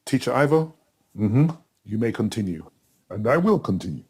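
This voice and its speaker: A middle-aged man giving a casual step-by-step tutorial in a chatty, simple way, with a husky voice. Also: lowish voice, very animated, moderate pace, darkish tone. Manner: simple, chatty, talking himself through each step. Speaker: tutor in a tutorial video, also acting as the student.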